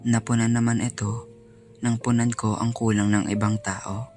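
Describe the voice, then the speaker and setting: A man playing an earnest, sorrowful young student poet in a solemn, measured dramatic monologue. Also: mid-range voice, flat delivery, a little mellow, natural voice. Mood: earnest, resolute. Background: faint music.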